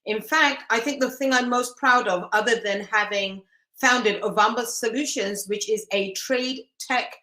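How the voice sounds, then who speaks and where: A woman's voice: a little high, measured pace, bright, forceful tone. Blunt woman panelist, echoey room. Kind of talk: panel talk on a video call.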